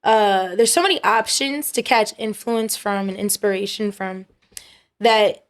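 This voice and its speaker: A young woman with a high-pitched voice, a singer-songwriter talking casually as an interview guest, relaxed, full of fillers and quick to laugh.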